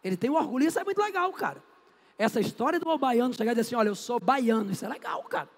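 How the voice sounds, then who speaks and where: A man's voice: very high-pitched, expressive, very fast. A middle-aged man from Northeast Brazil, giving a chatty, joking history lecture and answering his own questions. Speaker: history teacher. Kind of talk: lively classroom lecture. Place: classroom. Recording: echoey classroom with long reverb.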